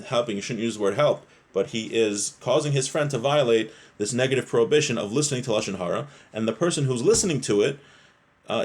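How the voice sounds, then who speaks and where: Man teaching a religious text, explaining as he goes. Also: on the low side. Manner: explanatory, rephrasing and posing questions to listeners. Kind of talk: religious lesson, reading a text aloud and explaining it.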